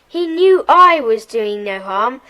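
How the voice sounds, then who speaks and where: A woman's voice: on the high side, big pitch swings, slow, sharp tone, full character voice, rough recording. A woman playing an earnest, polite schoolboy in a children's audio drama. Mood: regretful. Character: earnest, regretful schoolboy forced to leave his club.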